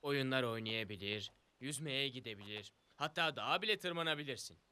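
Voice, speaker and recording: An actor playing a friendly, welcoming camp leader in a cartoon dub, speaking directly and brightly to children. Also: male voice, mid-range voice, expressive, fairly quick, clean tone. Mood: welcoming, upbeat. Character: friendly, upbeat male summer camp leader. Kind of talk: Turkish dubbed preschool cartoon acting.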